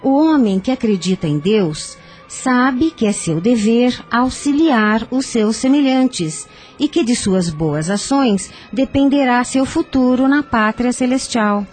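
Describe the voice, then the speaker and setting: An actress playing a wise, patient older aunt in a radio soap, giving solemn, measured spiritual counsel. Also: female voice, on the high side, animated, darkish tone. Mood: solemn, consoling. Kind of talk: radio soap opera acting. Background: music.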